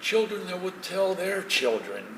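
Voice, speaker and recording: Man acting halting, regretful old man, echoey stage. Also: sits high, big pitch swings, gravelly, full character voice. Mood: anguished. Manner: halting, emotional, repeating words. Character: elderly divorced man, regretful, tender, longing for lost love. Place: theatre stage, distant mic. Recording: echoey stage from far off, noisy.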